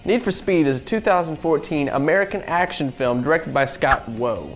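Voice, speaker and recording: Man, fairly high-pitched, casual review commentary, slangy, exasperated, soft music behind. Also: expressive, moderate pace. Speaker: co-host of a gaming review show. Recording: music playing under the voice.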